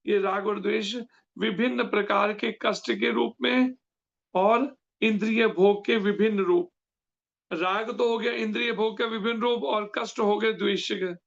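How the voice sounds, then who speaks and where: A man giving a devotional scripture lecture who explains slowly and keeps quizzing his listeners with questions. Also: high-pitched, fairly even intonation, gravelly, highs cut off. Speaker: scripture class teacher.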